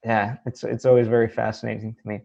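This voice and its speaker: A man's voice: fairly low pitch, little pitch movement, fast talker, creaky, darkish tone. A man hosting a casual interview, talking in a discursive way and often checking in with his guest. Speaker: interview host. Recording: slightly dull, lo-fi sound.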